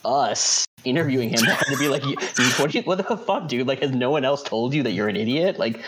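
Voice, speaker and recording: A man co-hosting a casual podcast, telling a story in a rambling, animated way with many "like"s. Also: medium pitch, fairly quick, breathy edge. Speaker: co-host.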